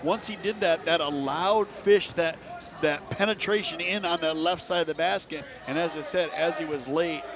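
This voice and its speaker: A man's voice: a little high, moderate pace, a crowd in the background. A man working as color analyst on a live radio basketball broadcast, explaining plays in a conversational way.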